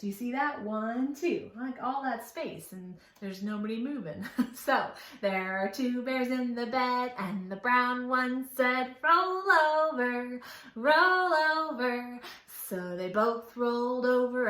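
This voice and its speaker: A woman's voice: a little high, slow pace, hardly any pauses, fairly bright tone. A woman leading a children's storytime, talking in an exaggerated singsong way as if to small children. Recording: echoey room.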